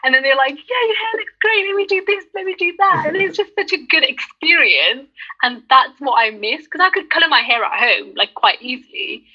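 A young woman chatting casually in an animated way, acting out little bits of remembered talk. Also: sits high, fairly quick. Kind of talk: casual chat. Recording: dull lo-fi sound.

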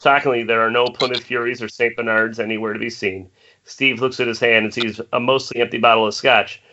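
A man narrates screenplay directions in a bright, forceful voice of medium pitch. The sound is a dull, lo-fi online call with faint noise. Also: fairly even intonation, a little fast. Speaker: narrator reading stage directions. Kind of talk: scripted narration at amateur table read.

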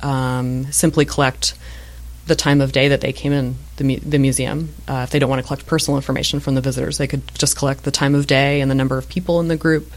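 A woman in her thirties with a low voice, answering interview questions in a relaxed, thoughtful way with frequent fillers and restarts. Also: fast talker. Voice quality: low-pitched voice. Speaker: museum voice-over artist and guest.

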